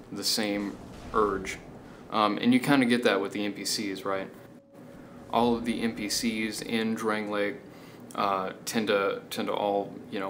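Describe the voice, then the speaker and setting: A man hosting a casual explanatory commentary, hesitant as he goes and often checking in with the listener. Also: lowish voice. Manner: hesitant, checking in with "right?" and "okay?". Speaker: video essay host. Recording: steady background noise.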